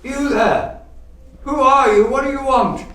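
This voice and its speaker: A man acting in a radio play as a fearful old curmudgeon of a businessman, halting and theatrical, full of exclamations. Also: very high voice, pushed and bright, full character voice. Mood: frightened. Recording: echoey, distant stage recording, faint noise.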